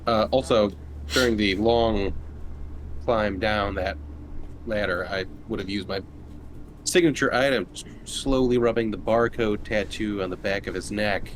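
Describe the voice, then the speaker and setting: A man's voice: fairly low pitch, steady tone, unhurried, background noise. A man chatting casually as a player at a tabletop game.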